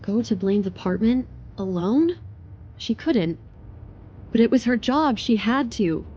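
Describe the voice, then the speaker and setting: A woman's voice: on the high side, expressive, a little slow, dark tone, muffled recording, slight background noise. A woman giving scripted, dramatic narration of a story.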